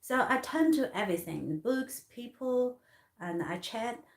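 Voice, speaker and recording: A woman from Hong Kong in her thirties telling a personal story in halting English with many restarts. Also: a little high, animated, reverberant. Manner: halting, many restarts and fillers, non-native grammar. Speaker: artist vlogger.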